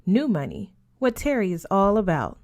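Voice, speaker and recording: A woman's voice: medium pitch, wildly swooping pitch, moderate pace, a little mellow. A woman narrator plays a confident teenage boarding-school girl from a storybook. She talks frankly and knowingly, with breezy emphasis. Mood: cutting.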